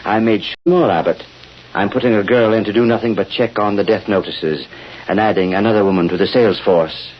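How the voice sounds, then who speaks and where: An actor playing a shrewd, controlling fake spiritualist, formal and measured, in old-time radio drama style. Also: male voice, lowish voice, natural voice. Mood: cold, commanding. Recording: dull, hissy old radio recording.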